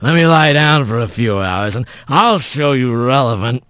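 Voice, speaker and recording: A man voicing a gruff, sardonic bobcat who is groggy and slurs his drawn-out words. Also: mid-range voice, animated, fairly bright tone, no echo, pitched below the performer's own voice, close to an everyday voice. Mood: groggy, irritable. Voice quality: slurred. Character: groggy, gruff male bobcat, snarly tough fighter.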